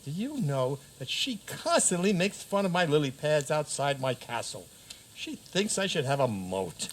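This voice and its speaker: Man playing a pompous, lively-pitched fairy tale king. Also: moderate pace. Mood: aggrieved, rambling. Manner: formal, declamatory. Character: pompous, easily sidetracked fairy tale king, formerly a frog. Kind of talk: community radio theater acting. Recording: faint background noise.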